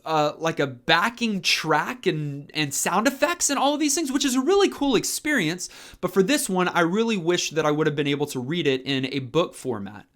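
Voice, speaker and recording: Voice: medium pitch, a bit bright. An informal, enthusiastic video host talking casually through a review and repeating words for emphasis. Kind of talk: casual chatty review vlog to camera.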